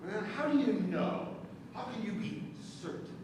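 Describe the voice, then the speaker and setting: High, swooping spiteful god, acted by a man. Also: sing-song. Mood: mocking. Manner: dramatic, halting, repetitive delivery. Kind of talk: stage play acting. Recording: echoey stage hall, distant mic, noisy.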